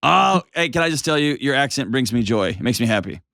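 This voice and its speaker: A man's voice: mid-range voice, lively intonation, quick pace, vocal fry, bright, forceful tone, dry recording. A man with a Texan accent hosts a call-in advice show, friendly but direct as he probes a caller's story. His voice sits in a middle range. The studio sound is dry and close. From Texas. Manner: friendly, direct probing questions. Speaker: radio call-in show host. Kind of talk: casual call-in advice chat.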